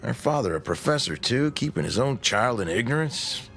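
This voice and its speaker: An American actor playing a stern, practical, middle-aged husband, blunt and insistent, in a radio drama. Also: male voice, mid-range voice, big pitch swings, moderate pace, creaky, fairly bright tone. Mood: indignant, insistent. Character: stern, practical, impatient small-town husband.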